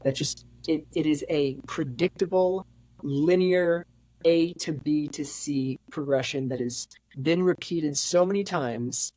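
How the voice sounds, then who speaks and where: A man in his late twenties, a podcast guest talking candidly in a reflective, halting way with many fillers and restarts. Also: on the high side, darkish tone, no room sound, poor audio. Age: 20s. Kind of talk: candid conversational interview.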